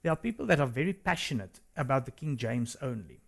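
A South African man whose first language is Afrikaans, co-hosting a religious discussion in an earnest, halting way. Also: medium pitch, sing-song, vocal fry. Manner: earnest, hesitant with restarts. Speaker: co-host. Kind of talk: studio discussion.

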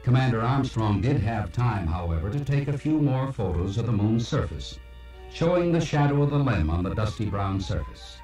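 Man, formal scripted narration, mellow tone, solemn and measured, very echoey cassette playback. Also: medium pitch, lively intonation, distant mic. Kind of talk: scripted documentary narration for a slide show. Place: played from a cassette, heard in a room. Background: music.

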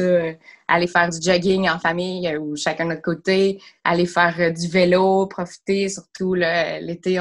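A woman in her thirties with a Quebec French accent and a lowish voice. She talks in a friendly, easy way, with light laughs between her answers. She is heard through a laptop on a video call from a room at home. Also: fairly bright tone. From Sherbrooke, Quebec. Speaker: drama teacher. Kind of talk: casual video-call interview. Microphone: laptop camera on a video call.

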